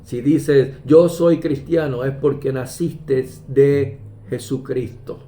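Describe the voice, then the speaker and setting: A man preaching a sermon, measured and emphatic, pausing often and quoting scripture. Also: medium pitch, steady tone. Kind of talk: slow deliberate sermon. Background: soft guitar music.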